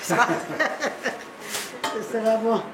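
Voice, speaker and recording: A woman with a slightly high voice speaks slowly and cheerfully in simple phrases while cooking. The kitchen is echoey, and loud clatter of dishes and pans surrounds her. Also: fairly even intonation, background noise. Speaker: shelter resident cook.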